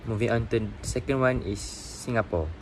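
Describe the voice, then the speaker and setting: Man, student presenter, reads aloud, fairly low voice, faint hiss. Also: moderate pace, rough recording. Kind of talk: class presentation.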